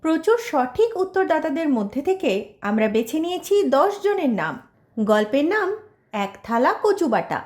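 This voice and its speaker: A woman's voice: high-pitched, big pitch swings, moderate pace, darkish tone. A woman announcer reading out a scripted announcement in an echoey voice-over. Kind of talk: scripted announcement of names and quiz.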